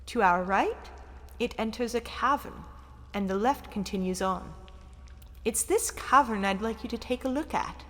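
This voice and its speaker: A woman's voice: fairly high pitch, a little slow, fairly bright tone. A woman playing a cool, condescending guide in a sci-fi audio drama, formal and haughty in her delivery. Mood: cool, guarded. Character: cool, condescending female guide of ancient tunnels. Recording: long hall reverb.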